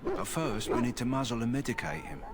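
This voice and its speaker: An actor playing a practical middle-aged kennel master in a fantasy video game, talking in a plain, matter-of-fact way. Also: male voice, mid-range voice, sing-song, brisk, over a noisy crowd, close to an everyday voice. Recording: game audio with clear background noise.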